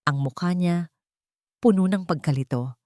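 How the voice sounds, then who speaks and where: A woman's voice: lowish voice, normal speed, warm tone, no room sound, clean studio sound. A woman reading a scripted dramatic love story as narrator.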